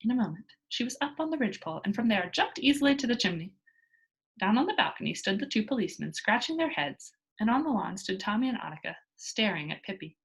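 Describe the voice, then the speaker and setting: Animated, slightly husky woman reading aloud. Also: mid-range voice, quick pace, poor audio. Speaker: children's book reader. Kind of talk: expressive read-aloud storytelling.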